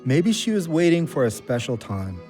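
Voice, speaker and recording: Gritty audio play: volatile male drinker, blunt, sweary, high voice with big pitch swings, faint music. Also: very animated, normal speed, dark tone. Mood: defensive, sullen. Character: troubled, touchy, hot-tempered man with a drinking problem. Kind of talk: gritty contemporary audio drama dialogue.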